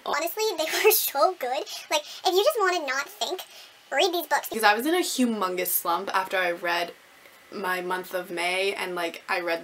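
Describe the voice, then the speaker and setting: A young woman chatting casually to camera as a book vlogger, animated, gushing and poking fun at herself. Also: fairly high pitch, a little hiss or hum. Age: young adult. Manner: animated, gushing, self-mocking. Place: small room at home with bookshelves.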